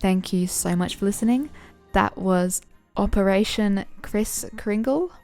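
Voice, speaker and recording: A woman narrating and hosting fan fiction audio in an expressive storytelling style. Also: mid-range voice, unhurried. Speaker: narrator and host. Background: background music.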